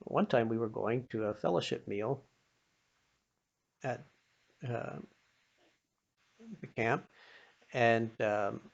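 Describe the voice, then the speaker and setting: An older man with a slightly muffled, lo-fi sound tells homespun stories. His voice has plenty of gravelly fry, and he speaks in a folksy, halting manner, like someone recalling things as he goes. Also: mid-range voice. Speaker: storyteller. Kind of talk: informal spoken storytelling of personal anecdotes. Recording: dull, lo-fi sound.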